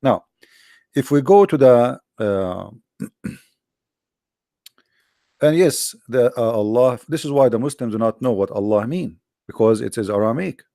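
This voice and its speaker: A man whose first language is Arabic, lecturing combatively over on-screen text, with many halting fillers and slow, drawn-out stress on key words. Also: medium pitch, lively intonation, creaky, dark tone, dry recording, clean studio sound. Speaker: religious commentator.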